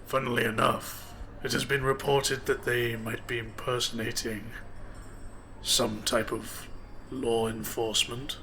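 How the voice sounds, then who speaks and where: A man playing a wry, probing, quietly threatening lawman in a noir audio drama. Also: medium pitch, level tone, unhurried, gravelly, a little hiss or hum, low-quality recording.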